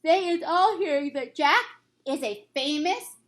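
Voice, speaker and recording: A woman reading a storybook as a gentle, knowing male giant who explains things in quaint broken grammar. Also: high voice, measured pace, voice pushed up. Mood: hushed, knowing. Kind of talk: storybook character voice in a read-aloud.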